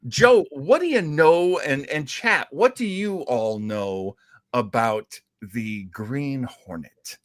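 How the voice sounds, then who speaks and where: A man hosts a casual storytelling show in a very animated voice. He takes his time, leaning on points with deliberate repetition. The sound is close and dry. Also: mid-range voice, no echo. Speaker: radio show host.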